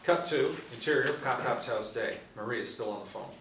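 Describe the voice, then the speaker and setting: A man's voice: medium pitch, expressive, a little fast, gravelly. A man reading scripted stage directions as narrator at a table read. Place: indoor room, seated reading panel. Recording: roomy, distant mic, faint noise.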